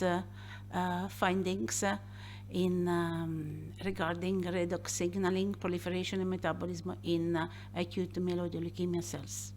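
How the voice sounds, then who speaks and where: A woman introducing a guest speaker at a seminar, halting and pausing often with many filler sounds. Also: lowish voice, darkish tone, slight background noise.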